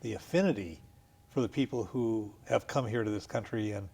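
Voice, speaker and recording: A man hosting an interview program, introducing his guests and asking questions in a measured way. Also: fairly low pitch, vocal fry, a little mellow, breathy edge. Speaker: radio show host.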